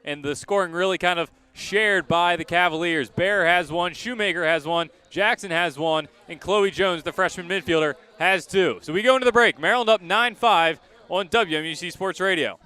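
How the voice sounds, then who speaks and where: A man's voice: sits high, moderate pace, sharp tone, clean tone. A young man calling a game live as a student radio play-by-play commentator. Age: young adult.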